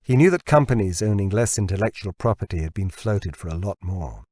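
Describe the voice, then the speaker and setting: A man's voice: low voice, lively intonation, brisk, a little mellow, dry recording, clean studio sound. A man reading a novel aloud as an audiobook narrator, in scripted storytelling style.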